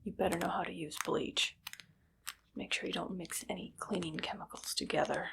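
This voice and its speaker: A woman playing a menacing, irritable evil scientist in an ASMR roleplay, whispering bossy orders and deadpan threats with a breathy, creaky edge. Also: lowish voice, moderate pace, noisy background. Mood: businesslike, ominous.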